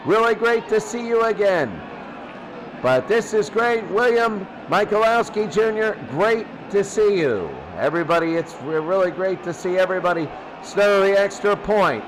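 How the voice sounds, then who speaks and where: A man's voice: sits high, lively intonation, moderate pace, background noise. A man doing football play-by-play as the announcer, often repeating his phrases. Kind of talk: amateur football play-by-play commentary.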